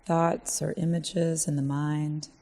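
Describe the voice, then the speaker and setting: A woman leading a guided meditation in a gentle, measured way, leaving long pauses. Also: low-pitched, level tone, vocal fry.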